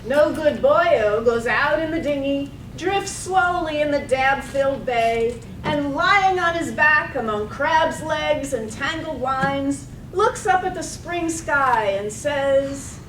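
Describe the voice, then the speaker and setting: Distant in an echoey room, a woman narrates a radio play as a lyrical storyteller. Her voice is high and she lets the poetic description unfold. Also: takes it slowly, hardly any pauses, a bit bright. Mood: dreamy. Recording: echoey, distant microphone, faint rumbling noise.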